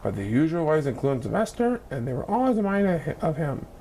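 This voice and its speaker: A man reading a children's storybook expressively, with dramatic, deliberate phrasing. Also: on the high side, sing-song, warm tone, slight background noise, rough recording. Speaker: story reader.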